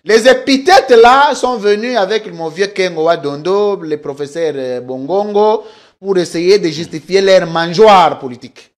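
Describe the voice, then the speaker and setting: A man's voice: sits high, measured pace. A man, a political guest arguing insistently and talking over the host. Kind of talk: heated political debate.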